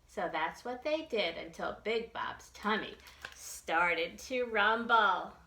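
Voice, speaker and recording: A woman tells a story to young children in a bright, animated voice. She takes her time and speaks simply, as a storytime reader does. The recording is roomy, with some noise behind her. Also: mid-range voice, takes it slowly. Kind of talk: expressive read-aloud storytelling for young children. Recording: roomy echo with background noise.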